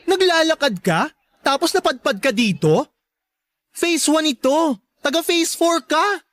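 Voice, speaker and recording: A woman playing a sharp-tongued, joking best friend in a Filipino radio drama, campy and teasing. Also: sits high, expressive, unhurried, no echo. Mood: sarcastic, catty.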